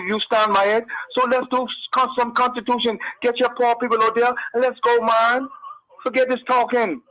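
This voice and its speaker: A man's voice: high-pitched, a bit bright. A man with a Bahamian accent calling into a radio show, blunt and impassioned, heard over a phone line. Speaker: caller.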